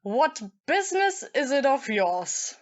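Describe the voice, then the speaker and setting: A woman's voice: high voice, big pitch swings, slow, dull audio, full character voice. A woman in an audio play acting a bitter, drunken and volatile jilted young woman with a taunting manner.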